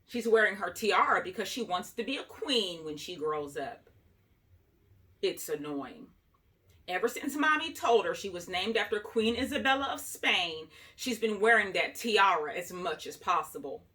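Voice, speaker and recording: A woman reading a children's book aloud as an expressive storyteller, acting out the dialogue in an animated way. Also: medium pitch, moderate pace. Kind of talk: expressive read-aloud narration. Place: small room at home, indoors.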